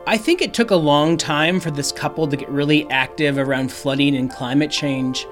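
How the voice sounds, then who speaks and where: A man's voice: on the high side, normal speed, soft background music. A young adult male reporter explaining a local story in a casual way, with some fillers. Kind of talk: radio news feature narration.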